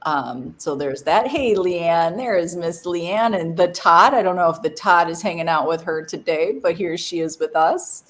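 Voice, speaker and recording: A woman's voice: lowish voice, moderate pace, few pauses. A woman co-hosting a casual live stream, giggly and a bit phlegmy, greeting viewers by name. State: phlegmy, coughing.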